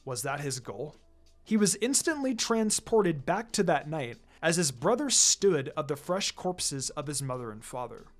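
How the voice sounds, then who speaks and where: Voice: medium pitch, sing-song, unhurried. A narrator reading a scripted fan fiction story aloud. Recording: music behind the voice.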